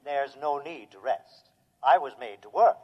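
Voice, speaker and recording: A calm male robot servant in an old radio play. His delivery is measured and assured, with no emotion. The voice rings with long reverb on a dull vintage recording. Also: on the high side. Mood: calm, obliging. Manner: deliberate, measured, unemotional assurance. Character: obliging male household robot, calm and tireless. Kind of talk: 1950s radio drama acting.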